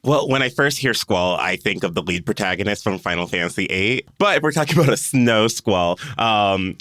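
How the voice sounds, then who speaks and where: A man's voice: fairly low pitch, a bit bright, no echo, studio quality. A man chatting casually and explaining things as a podcast panelist.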